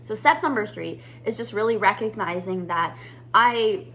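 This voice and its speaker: A woman's voice: mid-range voice, moderate pace, fairly bright tone, slight background noise. A woman coaching business owners on mindset, talking to camera in an upbeat, conversational way. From United States. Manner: upbeat, conversational, addresses viewers as "you guys". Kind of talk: teaching to camera.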